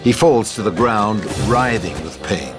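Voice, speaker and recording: A male narrator reads stage directions from a screenplay. His voice sits fairly low, and music plays clearly underneath the scripted narration. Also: measured pace.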